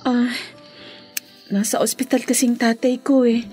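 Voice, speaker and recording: A high, youthful female voice from an actress in a radio drama, playing an eager young working woman devoted to her family. Her lines are emotive and earnest, with faint music around them. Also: flat delivery, measured pace, warm tone, lightly acted. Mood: sad, earnest. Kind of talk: Filipino radio drama acting.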